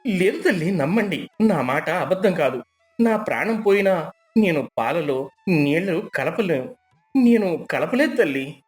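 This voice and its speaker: A man's voice: high voice, animated, unhurried, faint music behind. A man playing a meek, honest, poor village milkman who pleads and protests in a moral story. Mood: pleading denial.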